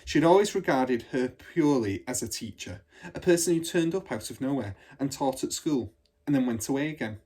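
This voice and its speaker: A man reading a children's storybook aloud like a teacher, in an expressive, measured storytelling manner. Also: medium pitch. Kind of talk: storybook narration.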